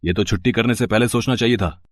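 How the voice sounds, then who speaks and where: Man as a curt, low-voiced boss. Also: quick pace, no echo, clean studio sound, natural voice. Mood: curt, dismissive. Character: curt, unyielding male boss; also brief tradesman, doctor. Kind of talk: animated moral story voice acting.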